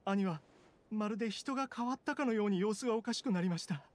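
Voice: medium pitch, fast talker, slight background noise, natural voice. An actor voicing an earnest, anxious townsman, a younger brother who pleads formally and very politely, in a Japanese anime game. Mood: solemn.

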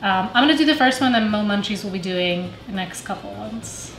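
A woman calmly explaining a dessert recipe step by step in a casual home cooking video. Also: medium pitch, a little fast. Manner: calm step-by-step explaining with fillers. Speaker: home cook guest. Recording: echoey room, faint music.

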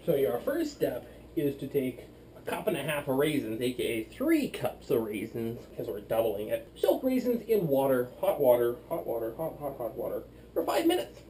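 A man's voice: mid-range voice, big pitch swings, moderate pace, warm tone, a little hiss or hum. A drunk young man rambling and playing silly while he bakes in a small home kitchen, recorded by a camera across the room. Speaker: vlogger. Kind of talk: drunken cooking demo vlog.

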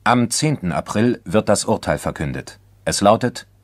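A man's voice: lowish voice, animated, normal speed. A man narrating a crime radio drama as a sober chronicler, formal and matter-of-fact. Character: sober male chronicler of a criminal trial.

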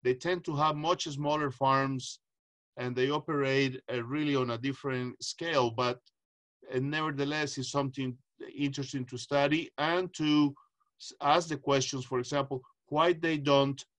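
A man's voice: medium pitch, fairly even intonation, normal speed, fairly bright tone. A middle-aged man from Costa Rica answering as a panelist on a video call, thoughtful and earnest, with many filler sounds. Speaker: panelist, agricultural economist. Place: home, video call with virtual background. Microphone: webcam or laptop mic on video call.

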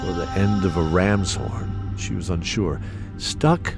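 A man narrating a scripted fantasy story in a measured, dramatic manner. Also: sits low, gravelly, over music.

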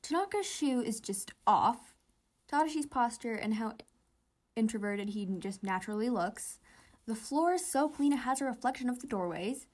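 A woman's voice: fairly high pitch, animated, normal speed, a little mellow. A young woman reading comics aloud and reacting casually as a fan, in a gushing, giggly, sweary way.

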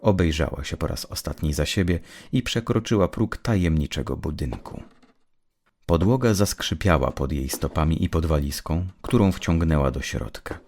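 A man reading scripted narration of a dark story as an audiobook narrator. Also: extremely low pitch, lively intonation, normal speed.

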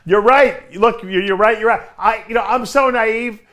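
A man's voice: high voice, normal speed, a bit bright. A man teasing his co-hosts and asking questions on a casual podcast. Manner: teasing, laughing, asks questions. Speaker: podcast host.

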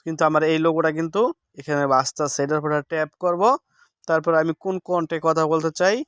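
A man talks through a casual how-to in a fairly high, very smooth voice. He is instructive and often repeats each step. The sound is dry and close, with little room echo. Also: steady tone, fast, fairly bright tone. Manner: instructive, repeats steps and seeks confirmation. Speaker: tech tips host. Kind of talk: casual screen-recording tutorial.

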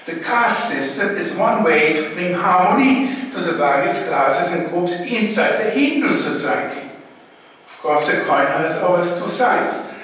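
A man in his sixties with a German accent, his native tongue, lectures in a high-pitched voice. He sets out each phrase deliberately and with emphasis, in formal academic style. The sound is very echoey and distant, as if picked up across a hall. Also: a bit bright. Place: indoor hall, standing at a lectern. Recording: echoey hall, distant mic, faint noise.

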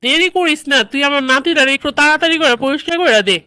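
Woman playing a fast, bossy Bangladeshi grandmother. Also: high voice, sharp tone, no echo, clean studio sound. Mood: bossy, scolding. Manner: commanding, scolding, insistent. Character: bossy, fussing grandmother, doting mother-in-law. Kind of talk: comedy cartoon dialogue in colloquial dialect.

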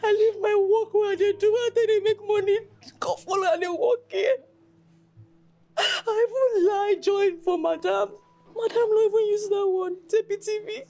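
A woman's voice: extremely high pitch, fairly even intonation, normal speed, a little mellow. A woman with a Nigerian Pidgin accent playing a young house cook who stammers and pleads her innocence. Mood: sobbing. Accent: Nigerian Pidgin English.

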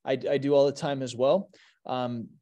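A man's voice: mid-range voice, steady tone, normal speed, dark tone, highs cut off. A middle-aged man, a teacher, explaining his methods with examples on a video call. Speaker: teacher, presenter. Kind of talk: explanatory webinar talk with examples.

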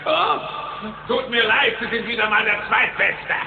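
An actor voicing a gloating, mocking rival treasure-hunter villain in an animal cartoon audio drama. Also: male voice, high voice, a little slow, gravelly, very noisy. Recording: tinny band-limited cassette with music.

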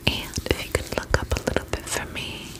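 A woman whispering breathily in a soothing, attentive ASMR roleplay as a gentle vampire spa attendant. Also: a little fast.

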